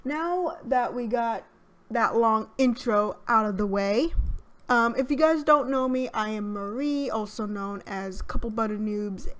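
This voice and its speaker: Soft-spoken, slow young woman gamer. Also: fairly high pitch. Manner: rambling, hesitant with many fillers. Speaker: gaming YouTuber. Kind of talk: casual let's play commentary.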